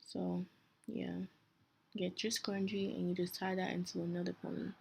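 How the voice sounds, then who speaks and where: A young woman with a Nigerian accent talks through a hair tutorial in an easy, chatty way, stopping now and then to correct herself. Her voice sits fairly low and stays level, with little rise and fall. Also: normal speed, creaky, husky. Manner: relaxed, self-correcting, chatty asides. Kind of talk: casual step-by-step tutorial.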